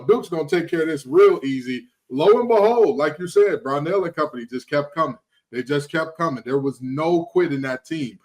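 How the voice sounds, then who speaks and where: A man on a sports podcast panel, emphatic and animated in casual talk, often repeating his phrases. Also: fairly high pitch.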